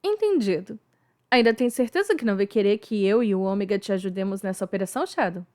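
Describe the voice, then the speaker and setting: A woman's voice: mid-range voice, lively intonation, fast talker, darkish tone, lightly acted. A woman playing a concerned female bat agent, partner to the hero, in a fan dub.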